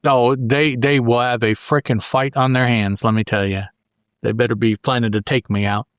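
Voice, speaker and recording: A man hosting a podcast, recapping a story casually and haltingly as he thinks aloud. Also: medium pitch, steady tone, no echo, clean studio sound. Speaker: podcast host. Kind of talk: casual comic book recap.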